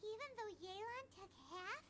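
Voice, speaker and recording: A woman voicing a tiny childlike fairy companion in a video game, squeaky, excitable and whiny, with exaggerated expressive delivery. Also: normal speed, slight background noise. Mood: weary.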